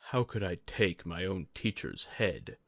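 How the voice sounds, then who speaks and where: Man playing an earnest, deep-voiced young student. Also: sing-song, a little slow, gravelly, no room sound, clean studio sound, heavily acted. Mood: pained. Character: earnest young male student, grieving but steadfast. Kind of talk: fantasy audiobook character voice.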